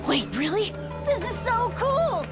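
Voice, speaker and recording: A bubbly young would-be pirate in a game cutscene. The voice is high and very animated, full of earnest eagerness, with music playing behind it. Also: female voice, measured pace, full character voice. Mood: excited. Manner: excitable, earnest. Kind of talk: video game cutscene acting.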